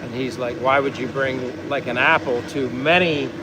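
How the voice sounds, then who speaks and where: A man's voice: mid-range voice, steady tone, normal speed, background noise. An American man hosting a casual vlog, talking in a rambling, easygoing way as he reads out viewer comments. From United States. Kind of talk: casual livestream chat.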